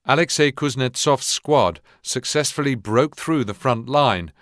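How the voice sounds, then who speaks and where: An actor as a sober historical narrator, reading formal, measured documentary-style narration for a war video game. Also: male voice, mid-range voice, expressive, fairly bright tone, no room sound, studio quality. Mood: sober, factual.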